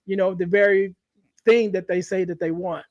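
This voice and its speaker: A man with a high-pitched voice hosts a conversational podcast. He speaks emphatically and often checks that he is being followed. The sound is dry, with very little room in it. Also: fairly even intonation, normal speed, darkish tone, no room sound, highs cut off. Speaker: podcast host.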